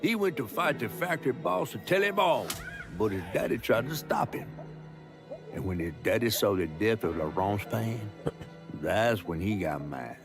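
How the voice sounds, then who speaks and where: Folksy old Southern catfish, gravelly, protective video game storyteller, music underneath. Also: male voice, on the low side, expressive, normal speed. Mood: grave storytelling. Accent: American South. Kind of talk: dramatic storybook narration and game dialogue. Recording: voice over music.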